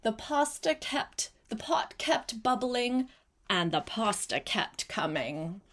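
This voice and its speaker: Older woman, grandmother reader, unhurried pace, lively swooping intonation, child-directed asides. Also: a little high. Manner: animated, addresses the young listener with questions. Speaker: grandmother reading a storybook aloud. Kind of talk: expressive storybook read-aloud with chatty asides to a child.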